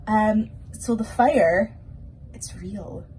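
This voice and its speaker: Casting: Scottish female performer, soft-edged mellow tone, mid pitch, chatty children's Q&A, some background noise. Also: extremely slow, low-quality recording. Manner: chatty, informal. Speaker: pantomime performer reading out questions. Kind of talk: casual spoken question-and-answer for children.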